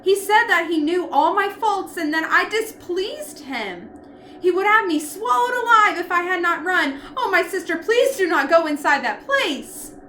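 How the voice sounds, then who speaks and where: A woman voicing a proud, selfish young woman from a storybook, with forceful, dramatic exclamations. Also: very high voice, very animated, unhurried, echoey room, a little hiss or hum, pitched above the performer's own voice, exaggerated voice. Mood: hysterical, pleading. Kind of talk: storybook character voice.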